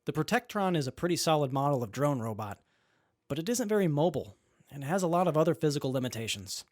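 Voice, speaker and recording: A man playing a capable, good-humoured young wasteland mechanic in an audio drama, measured and matter-of-fact. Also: on the high side, very animated, no room sound, studio quality. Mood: focused. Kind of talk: post-apocalyptic audio drama acting.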